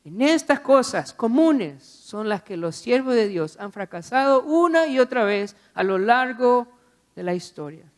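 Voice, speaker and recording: A man's voice: very high-pitched, very animated, measured pace. A man preaching a sermon, exhorting the listener with repeated phrases and rhetorical questions.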